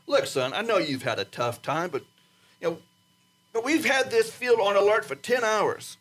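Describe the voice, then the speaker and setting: A man acting an anxious, ageing, gruff test flight chief in a radio drama, with an emphatic delivery. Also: high-pitched, lively intonation, normal speed, a bit bright. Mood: brisk, impatient.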